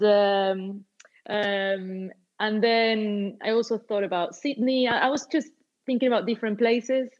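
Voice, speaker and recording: A woman's voice: mid-range voice, muffled recording. A woman in her forties, originally from Argentina, chatting casually as an interview guest with lots of fillers and restarts. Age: 40s. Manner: warm, rambling with many fillers and restarts. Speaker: guest musician and label owner.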